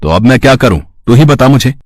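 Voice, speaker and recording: A man playing a lazy, greedy, excitable poor flower seller in a cartoon story, impatient and prone to grumbling. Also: mid-range voice, fast talker, no room sound, clean studio sound, close to an everyday voice. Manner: grumbling, stammering when startled, impatient. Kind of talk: Hindi cartoon moral story.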